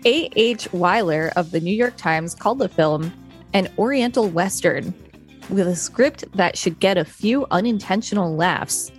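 A woman co-hosting a podcast, reading an article aloud in a steady, even way. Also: mid-range voice, measured pace, soft background music. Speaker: podcast co-host.

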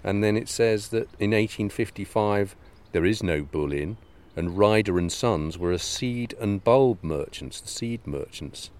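Deep-voiced wry man co-hosting. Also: animated, a little slow, hardly any pauses, a little mellow. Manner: wry and teasing, addresses listeners. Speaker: podcast co-host. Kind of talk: casual chat.

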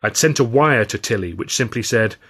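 A man's voice: lowish voice, animated, fairly quick, fairly bright tone, slightly rough. A man reading his own novel aloud as a scripted, first-person audiobook narration.

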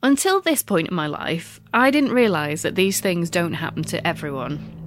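A woman's voice: fairly low pitch, expressive, moderate pace. A woman hosts her podcast, telling stories in a wry, self-mocking way, her nose stuffed up from a recent illness. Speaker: podcast host. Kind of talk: scripted podcast monologue.

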